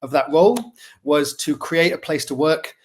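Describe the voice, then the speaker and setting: A man's voice: on the high side, steady tone, moderate pace. A man in his forties from Manchester with a Mancunian English accent, a friendly guest who talks about his career in a self-deprecating way over a video call. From Manchester, England. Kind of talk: casual interview chat. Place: indoor room at home. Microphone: webcam on a video call.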